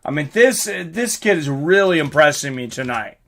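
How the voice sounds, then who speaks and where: A man hosting a live fight stream, excitable and informal, mixing commentary with casual chat to viewers. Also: on the high side, normal speed. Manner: excitable, informal, swearing, reacting aloud.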